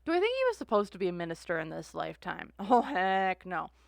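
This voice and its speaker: A woman's voice: medium pitch, huge pitch swings, a little fast, creaky, dry recording. Chatty woman with a dry close sound. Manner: rambling, heavy use of "like" and "uh". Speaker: tarot reader. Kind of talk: casual tarot reading.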